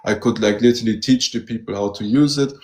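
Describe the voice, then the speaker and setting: A man's voice: on the low side, fast talker, darkish tone. Eager German-raised man, roomy echo. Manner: eager, rambling, says "you know" often. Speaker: guest, metal detector shop owner. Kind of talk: casual interview chat.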